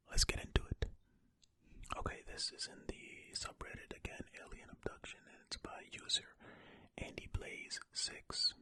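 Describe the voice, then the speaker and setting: An ASMR host reads stories in a breathy, fully hushed whisper. The sound is very crisp and bright, right up at the microphone. The delivery is slow and informal, with casual asides between passages. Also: extremely high pitch, no echo. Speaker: ASMR host reading aloud. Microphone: close-up ear-to-ear ASMR microphone.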